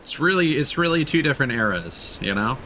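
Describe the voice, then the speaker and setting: A man's voice: medium pitch, lively intonation, brisk, sharp tone, slight background noise. A man giving casual livestream gameplay commentary, thinking aloud in a rambling, jokey way. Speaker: video game streamer. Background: game ambience.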